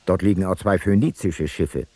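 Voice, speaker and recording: Ancient Greek voyager in radio drama, formal log entries, lowish voice, reverberant, over music. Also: male voice, moderate pace, a little mellow. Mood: measured, observing. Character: ancient Greek male seafarer reading out his voyage log. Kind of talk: radio play logbook narration. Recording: very echoey, music behind.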